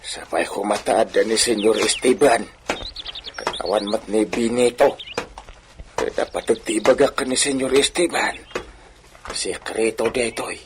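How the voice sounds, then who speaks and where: A slow-talking old village man, played by a male actor, nervous and secretive, hesitates and sighs in radio drama style. Faint noise sits behind the voice. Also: fairly low pitch, lightly acted. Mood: secretive, worried. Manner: hesitant, sighing.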